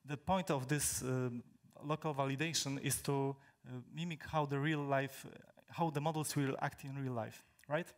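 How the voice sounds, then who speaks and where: Young man, from Poland, native Polish speaker, conference talk, handheld mic, large hall, halting, frequent fillers. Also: mid-range voice, steady tone. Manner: halting, many fillers and restarts. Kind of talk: technical talk to an audience. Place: large hall with stage, indoors.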